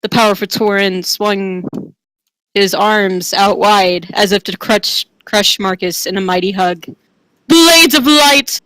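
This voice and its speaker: A woman's voice: mid-range voice, little pitch movement, unhurried, fairly bright tone, no room sound, rough recording. A woman giving a theatrical, tongue-in-cheek dramatic reading of a fantasy romance. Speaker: reader.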